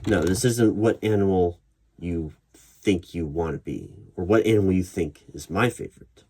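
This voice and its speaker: A man playing an interviewer in an ASMR job interview roleplay, putting probing questions in a measured way. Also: low-pitched, mellow. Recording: clear background noise. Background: computer keyboard typing and clicks.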